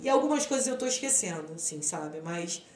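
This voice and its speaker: A woman's voice: fairly low pitch, animated, brisk, darkish tone, echoey room. A Brazilian woman in her forties, a podcast guest chatting casually and acting out the dialogue in her stories. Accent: Brazilian Portuguese. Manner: chatty storyteller, acts out quoted dialogue. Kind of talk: casual chat.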